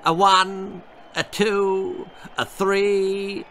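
A man's voice: sits high, flat delivery, drawn-out words, bright, forceful tone, slight background noise, pitched above the performer's own voice, exaggerated voice. An English man voicing an arrogant, mocking schoolboy athletics champion in a storybook, with sneering taunts.

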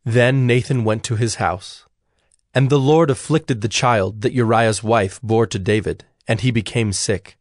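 A man narrating scripture in a measured, formal way as a scripted reading. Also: lowish voice, steady tone, no room sound, clean studio sound.

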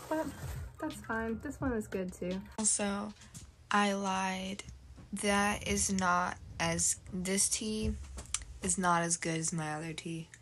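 Teen girl rambling to her phone in her room, lots of likes, faint music behind. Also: mid-range voice. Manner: chatty, rambling, lots of "like" fillers. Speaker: vlogger. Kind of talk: casual day-in-the-life vlog. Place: small bedroom and home, indoors. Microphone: phone camera held close.

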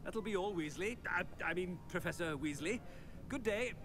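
A man voicing a pompous, flustered male headmaster who blusters and hesitates, clearing his throat. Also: high-pitched, lively intonation, moderate pace, a bit bright, slight background noise.

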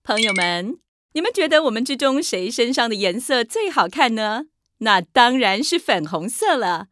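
A woman's voice: fairly high pitch, big pitch swings, moderate pace, a bit bright, no echo, studio quality. A woman voicing a vain, self-assured mother shark in a children's cartoon, with a Taiwanese Mandarin accent. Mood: proud, insistent. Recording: music behind.

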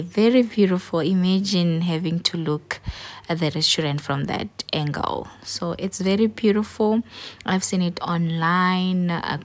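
A woman's voice: fairly low pitch, darkish tone, dry recording. A woman with a South African accent doing a casual, easygoing vlog voice-over, as if thinking it through. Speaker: vlogger.

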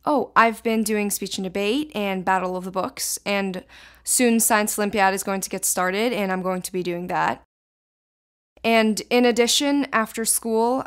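A teenage girl from Israel whose first language is Hebrew, answering interview questions in long, flowing sentences. Her voice is smooth and clear, and her pitch sits in an ordinary middle range. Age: teens.

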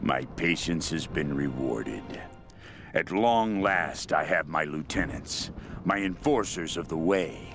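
A man's voice: rumbling low, animated, over music, exaggerated voice. An actor playing a fanatical, mad cult leader obsessed with a queen, delivering a brooding, menacing diary monologue for a video game. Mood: fervent, triumphant. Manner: slow, brooding, menacing, intense.

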